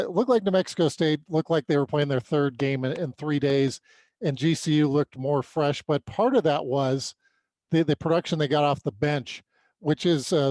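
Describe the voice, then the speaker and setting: A man's voice: a little high, a little mellow, no echo. Dry-sounding man hosting a sports podcast. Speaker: sports podcast co-host, interviewer. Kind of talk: conversational sports analysis.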